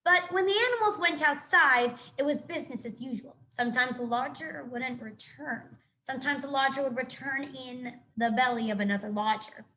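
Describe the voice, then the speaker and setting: A teacher reading a children's novel aloud to her students in an expressive storytelling manner. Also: high voice, moderate pace, hardly any pauses. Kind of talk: children's book read-aloud.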